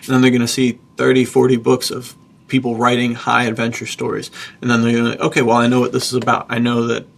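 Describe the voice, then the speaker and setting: A man with a very gravelly, husky voice hosts a casual podcast. He talks informally and with emphasis, enthusiastic in his wording while his pitch stays fairly even. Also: fast talker. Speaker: podcast host, author and publisher.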